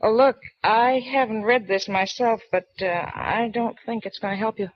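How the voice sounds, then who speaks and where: An actress playing a breezy, wisecracking library clerk. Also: female voice, on the high side, steady tone, normal speed, natural voice. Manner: casual, slangy, teasing. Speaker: library assistant. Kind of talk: 1940s radio acting. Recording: dull dry 1940s radio broadcast recording.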